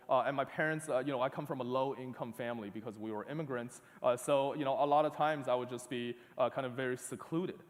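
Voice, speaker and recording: Man speaking in an echoing auditorium. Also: a little high. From northeast China. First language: Chinese. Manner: halting, many uh fillers and restarts. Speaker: conference speaker. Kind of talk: conference talk with slides. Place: large auditorium. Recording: long hall reverb.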